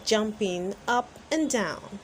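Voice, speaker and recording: Slow, animated woman reading a children's storybook. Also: mid-range voice. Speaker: storybook reader and channel host. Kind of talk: expressive read-aloud for children.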